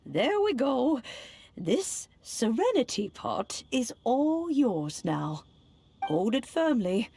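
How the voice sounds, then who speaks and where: An actress playing a gentle elderly immortal granny in a video game, speaking in old-fashioned, unhurried phrases with fond chiding. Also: female voice, a little high, big pitch swings, creaky, slight background noise, full character voice. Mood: gentle, helpful.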